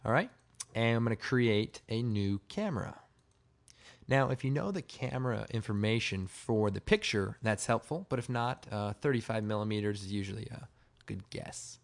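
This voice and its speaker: A man with a slightly hoarse, raspy voice giving a casual, informal software tutorial, explaining step by step. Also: on the low side. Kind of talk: casual screen-recorded tutorial narration.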